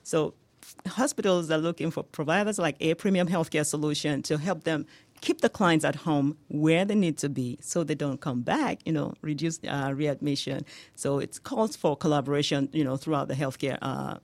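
A woman's voice: sits low, moderate pace, darkish tone, no room sound. A woman with a Nigerian accent, talking in an interview about her healthcare business, laughing often and using many fillers. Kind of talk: radio interview answers.

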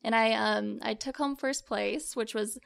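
A woman's voice: fairly high pitch, fairly even intonation, a little slow, creaky, a bit bright. A young woman, a student founder, answering interview questions in an upbeat way with many fillers. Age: young adult.

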